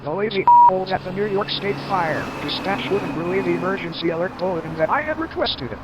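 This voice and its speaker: A man voicing a hot-tempered fire chief who swears and yells over radio calls in an amateur comedic radio drama. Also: sits high, expressive, a little fast. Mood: indignant. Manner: angry swearing radio calls, voice cracking upward. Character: hot-tempered male fire chief, foul-mouthed, shouty. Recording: loud siren and vehicle noise.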